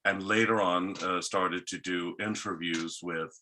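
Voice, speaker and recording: Danish guy, low voice but really bright and sharp, rambly guest chat with lots of restarts. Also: fairly even intonation, measured pace, slight background noise. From Denmark. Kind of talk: casual interview talk.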